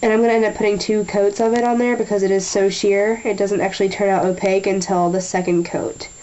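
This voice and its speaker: A young woman talking through a nail tutorial in a chatty way, a little nasal from a sinus infection, often clearing her throat. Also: medium pitch, level tone, fast talker, dark tone, rough recording. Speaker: beauty vlogger. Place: small room at a table.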